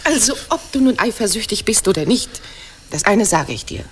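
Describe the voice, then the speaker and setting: An actress playing a proud, sharp-tongued, flirtatious Greek wife in a radio play, mocking and coquettish. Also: female voice, medium pitch, sing-song, slight background noise, lightly acted. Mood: indignant, mocking. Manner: mocking, coquettish, quick to needle.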